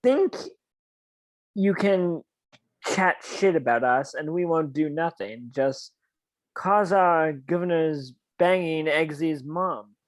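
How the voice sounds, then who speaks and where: Reader playing big bully stepdad, talks slow, dry mic. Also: medium pitch, no echo, natural voice. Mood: menacing. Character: bullying older stepfather, big and intimidating. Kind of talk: amateur table read over video call.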